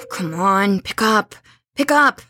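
A woman acting an anxious, resolute young woman in an audio horror drama, speaking in a husky, earnest, urgent hush. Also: medium pitch, lively intonation, slow pace, no echo, full character voice.

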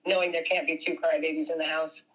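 A woman's voice: low-pitched, flat delivery, quick pace, fairly bright tone, lo-fi sound. A woman speaking spontaneously as an interviewee. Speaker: interviewee, theater operator.

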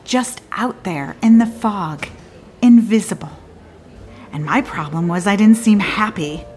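An actress playing a disillusioned woman lawyer in a theatrical monologue, confiding in a halting, wry and bitter way with abrupt swings. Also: medium pitch, darkish tone, lightly acted. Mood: weary, resigned.

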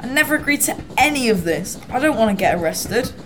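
A woman's voice: on the high side, animated, fairly quick, background noise, lightly acted. A young woman playing an anxious, whiny male accomplice to a thief in an amateur audio drama. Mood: worried.

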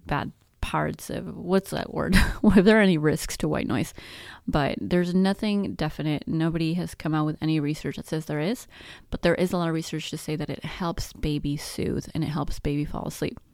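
A woman's voice: deep voice, fairly even intonation, moderate pace, darkish tone, no echo, studio quality. A woman in her thirties whose first language is Spanish, hosting a podcast and giving chatty, self-deprecating advice on baby sleep. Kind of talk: casual advice talk.

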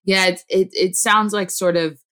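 A woman's voice: medium pitch, fairly even intonation, normal speed, fairly bright tone. A woman hosting an interview, affirming and reflective, with many "you know"s. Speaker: host.

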